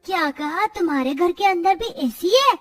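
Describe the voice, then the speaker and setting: A woman's voice: very high-pitched, lively intonation, moderate pace, fairly bright tone, soft background music. A woman voicing a whiny, eager young child in a cartoon story, pleading and exclaiming. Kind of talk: Hindi cartoon story acting.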